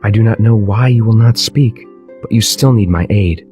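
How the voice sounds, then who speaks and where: A man playing a kind, gallant young prince in a storybook tale, with a gentle, courtly manner. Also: lowish voice, moderate pace, faint music behind, close to an everyday voice. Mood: warm, reassuring. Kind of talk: storybook character acting.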